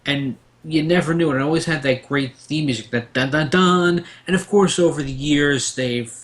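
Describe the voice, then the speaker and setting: A man's voice: fairly high pitch, moderate pace. A man in a casual gaming discussion, giving opinions in a rambling, storytelling way as a panelist.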